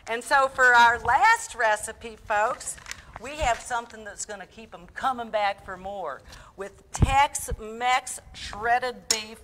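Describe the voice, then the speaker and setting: A woman's voice: fairly high pitch, takes it slowly. A woman playing a chatty, folksy cook giving a comic cooking demonstration full of rambling asides, with faint noise behind. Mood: folksy, instructive. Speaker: guest cook on a show.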